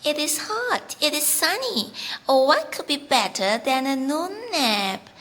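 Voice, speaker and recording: A woman voicing a smug, carefree young male rabbit in a storybook, with drawn-out, sing-song delivery. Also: high voice, very animated, a bit bright, voice pushed up, full character voice. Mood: lazy, contented.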